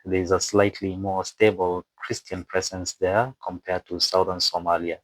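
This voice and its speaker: A man who is not a native English speaker narrates an explainer from a script with asides, haltingly, stumbling over words and repeating phrases. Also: low-pitched, little pitch movement, no room sound, low-quality recording. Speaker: video presenter and narrator.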